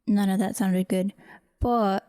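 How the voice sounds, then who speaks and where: A woman's voice: mid-range voice, steady tone, slow pace, dark tone, a little hiss or hum. A woman talking casually through an audio editing walkthrough as a voice actor, murmuring to herself in short fragments. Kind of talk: casual screen-recorded editing walkthrough, thinking aloud.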